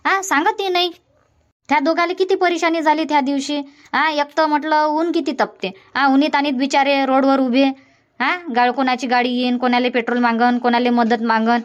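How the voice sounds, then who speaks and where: A woman playing a nagging village aunt, high-voiced. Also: dull audio, close to an everyday voice. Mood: lecturing. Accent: Vidarbha Marathi. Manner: nagging, lecturing, long run-on scolding. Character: scolding middle-aged village aunt.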